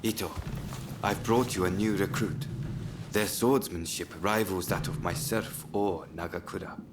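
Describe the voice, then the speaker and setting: A man playing a stern, reserved samurai swordsman in a video game, speaking in a measured, curt and formal way. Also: low voice, steady tone, noisy background. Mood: businesslike. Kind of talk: dramatic video game acting. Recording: loud music behind the voice. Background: loud game soundtrack music.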